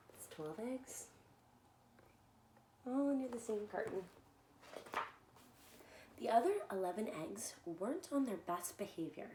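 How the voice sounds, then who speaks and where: A woman reading a picture book aloud to young children in an animated, expressive way, often talking directly to them. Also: on the high side. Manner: animated, addresses listeners with simple questions. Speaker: children's story reader and soccer coach. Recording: echoey room, faint background noise.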